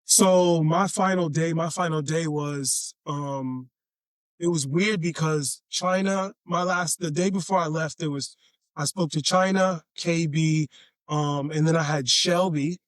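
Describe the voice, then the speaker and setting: Raspy high-voiced man from Chicago. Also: steady tone, a little slow, no echo. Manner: repeats phrases, laid-back and playful. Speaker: podcast guest. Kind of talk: casual storytelling chat.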